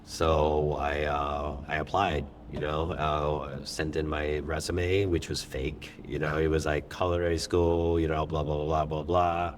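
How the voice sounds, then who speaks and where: A man's voice: very deep voice, flat delivery, measured pace, hardly any pauses, a little hiss or hum. A middle-aged man, a chef being interviewed, telling his story in a rambling way with many fillers and restarts. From born in Thailand, raised in New York. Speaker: chef and restaurant owner, guest.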